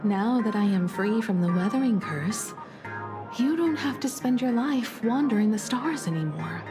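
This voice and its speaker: An actress playing a devoted, nostalgic young adult daughter and star navigator in a video game, speaking softly and sincerely with composure. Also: female voice, mid-range voice, expressive, normal speed, natural voice. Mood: tender, pleading. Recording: music under the dialogue.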